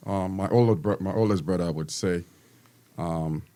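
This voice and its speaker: Low-voiced guy in his 50s from guyana, coach answering questions, lots of ums and restarts. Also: warm tone. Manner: friendly, halting, many ums and restarts. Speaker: teacher and basketball coach. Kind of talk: casual interview answers.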